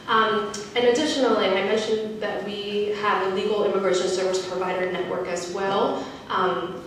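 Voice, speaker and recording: A woman giving an informal public presentation as a panel speaker, often checking in with her listeners with a quick "right?". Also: mid-range voice. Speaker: county community liaison, panel speaker. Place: indoor panel event before an audience. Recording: very echoey room, distant mic, faint noise.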